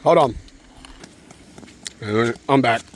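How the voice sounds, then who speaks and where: A man's voice: medium pitch, slow. Man chatting to his kid outdoors, slightly noisy. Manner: encouraging, simple instructions, checking in with "okay?". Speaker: father talking with his young son. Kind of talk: casual playful chat with a child. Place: outdoors at a park in the rain. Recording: noisy outdoor home-video audio.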